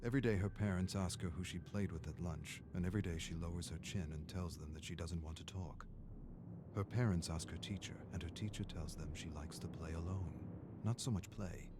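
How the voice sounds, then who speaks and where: A man narrating a scripted story in a measured, dramatic storytelling manner. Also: low voice, noisy background. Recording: music under the voice. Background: background music.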